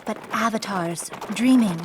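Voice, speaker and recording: A woman's voice: mid-range voice, a little slow, natural voice. Woman playing an earnest priestess over background noise. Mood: urgent, tentative. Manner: formal, careful diction. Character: devout, earnest young priestess. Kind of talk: fantasy audio drama acting. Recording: clear background noise, outdoor ambience.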